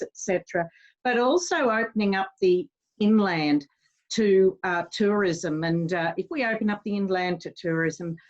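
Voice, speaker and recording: An Australian woman, a councillor, speaking in support of a motion at a council meeting, hesitant and full of fillers but earnest. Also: lowish voice. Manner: hesitant, many fillers, plain earnest speech. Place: council chamber. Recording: dull, lo-fi sound.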